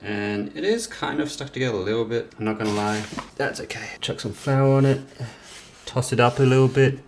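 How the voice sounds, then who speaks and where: A man's voice: mid-range voice, a little fast, creaky. Young man, cooking vlog host, casual, rambling, home kitchen, faint background noise. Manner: relaxed, rambling, self-correcting.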